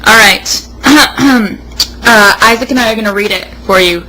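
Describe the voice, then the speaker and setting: A woman's voice: mid-range voice, measured pace, pushed and bright, a little hiss or hum, low-quality recording. A young American woman reading aloud in a mock-dramatic, sarcastic way with casual asides. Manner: sarcastic, emphatic delivery. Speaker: co-host.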